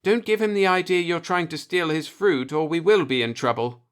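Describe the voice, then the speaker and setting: A man's voice: fairly high pitch, moderate pace, clear, resonant tone, pitched above the performer's own voice, close to an everyday voice. A man voicing a cautious, frightened woman from another galaxy in a science fiction audiobook. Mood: wary.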